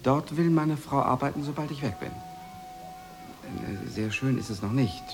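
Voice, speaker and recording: An actor playing a polite, awkward American husband in a radio drama, stammering and hesitant but eager to please. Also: male voice, mid-range voice, unhurried, faint music behind, close to an everyday voice. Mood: hesitant, explaining.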